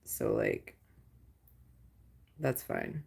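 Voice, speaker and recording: A young adult woman with a low voice, chatting casually with viewers on a livestream, rambling and swearing with lots of "like". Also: drawn-out words, a little mellow. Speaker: livestream host.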